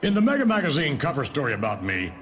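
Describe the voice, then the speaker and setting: Wildly swooping, pompous boss. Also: male voice, medium pitch, wildly swooping pitch, fast talker, a bit bright, a little hiss or hum. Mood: smug self-praise. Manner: pompous, theatrical. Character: pompous, self-admiring wrestling company boss in a video game. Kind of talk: video game cutscene speech.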